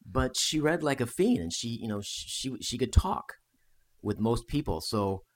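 A man's voice: mid-range voice, lively intonation, moderate pace. Man chatting casually on a podcast. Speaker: podcast guest. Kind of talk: casual chat, storytelling about history.

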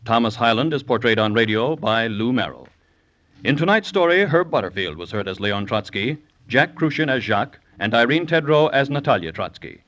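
Mid-pitched male vintage radio announcer. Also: expressive, moderate pace, a bit bright, lo-fi sound, slight background noise. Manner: formal, polished. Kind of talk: 1950s scripted radio announcing.

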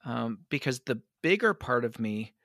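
A man, a pastor, answering interview questions in an earnest, reflective way, his voice worn hoarse by allergies. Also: medium pitch, big pitch swings, moderate pace, fairly bright tone, no echo, clean studio sound. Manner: earnest, reflective, many restarts. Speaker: pastor and advocate.

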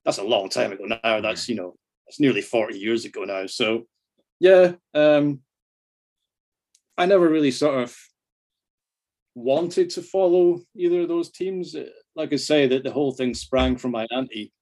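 A man's voice: medium pitch, normal speed, a little mellow. Middle aged scottish guy on a podcast, casual sweary football chat, kinda self-deprecating. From Scotland. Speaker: podcast guest.

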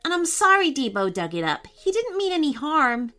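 A brave, polite young man from a storybook, firm and courteous as he stands his ground, as a woman voices him. The voice sits fairly high and moves a lot in pitch, with soft music beneath. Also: sing-song, moderate pace, exaggerated voice. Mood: kind.

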